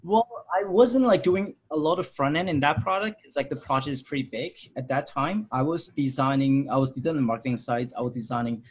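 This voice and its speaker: A man's voice: medium pitch, fairly quick, hardly any pauses, slightly rough. A man in his thirties from Hong Kong, answering interview questions about his design career in a loose, rambling way with many fillers. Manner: rambling, frequent fillers and restarts.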